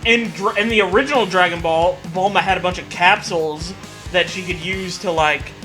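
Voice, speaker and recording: A man's voice: high-pitched, fairly even intonation, a little fast. A young man riffing casually and comically as a co-host, sarcastic, exaggerated and freely profane. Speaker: co-host commenting on fan fiction. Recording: music playing behind the voice. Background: background music.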